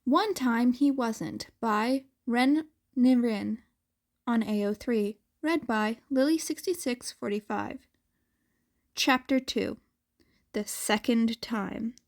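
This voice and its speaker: A woman's voice: on the high side, slow pace. A woman reading a fan fiction story aloud as an amateur narrator.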